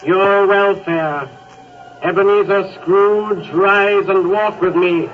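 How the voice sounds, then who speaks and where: A calm, commanding spirit of the past in a 1930s radio play. It speaks slowly and solemnly in a high voice. The sound is thin and tinny, buried under loud hiss. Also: male voice, soft background music. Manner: slow, measured and solemn. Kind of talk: 1930s radio drama acting. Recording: tinny, very noisy old radio recording.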